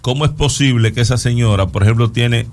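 A man's voice: mid-range voice, fairly quick, a little mellow. A man with a Dominican accent giving conversational news commentary as a talk show host, explaining things and repeating words for emphasis.

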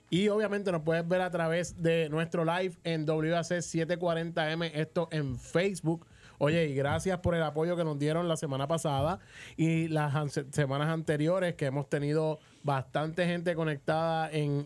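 A man's voice: fairly high pitch, level tone, normal speed, a little mellow. A man with a Puerto Rican accent hosting a casual sports interview on the radio, chatty and often repeating words as he works out his phrasing. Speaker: radio show host and interviewer. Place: radio booth.

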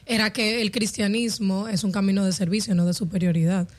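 A woman's voice: medium pitch, steady tone, a little fast. A woman talking casually in a group discussion about faith.

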